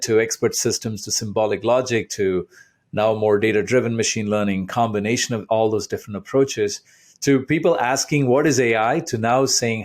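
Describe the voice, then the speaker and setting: A man's voice: fairly low pitch, darkish tone. A man hosting an interview podcast in a casual, conversational way, effusive and encouraging toward his guest.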